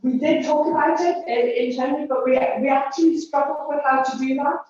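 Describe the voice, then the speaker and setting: Woman facilitator, hesitant and halting, fairly high voice, far off in an echoey hall. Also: steady tone, darkish tone, a little hiss or hum. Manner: hesitant, many fillers. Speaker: event facilitator. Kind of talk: open discussion at a public event. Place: large room at a public event. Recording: echoey hall, distant mic, dull.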